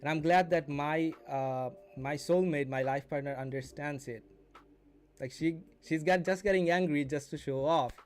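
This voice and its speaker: A man's voice: a little high, moderate pace. A man in his twenties hosting a casual live stream as a mentor, teaching and chatting to viewers, repeating and rephrasing as he goes. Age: 20s. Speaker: day trading mentor and live stream host.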